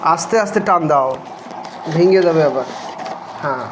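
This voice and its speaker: Higher-voiced Bangladeshi man, noisy recording. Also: a little slow. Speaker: vlogger unboxing a product. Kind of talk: casual unscripted vlog narration. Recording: noisy home video audio.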